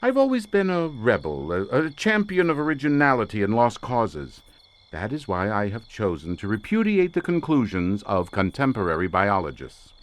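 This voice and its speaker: An actor playing an obsessive, eccentric occult researcher in a radio suspense drama, lecturing in grand theatrical phrases. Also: male voice, on the low side, wildly swooping pitch, moderate pace. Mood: scornful, passionate. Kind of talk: old-time radio suspense drama acting.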